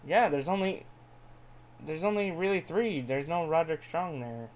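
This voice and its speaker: A man's voice: on the high side, normal speed, a little mellow. A man chatting casually as a podcast co-host. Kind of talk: casual wrestling podcast chat. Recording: home microphone with faint background noise.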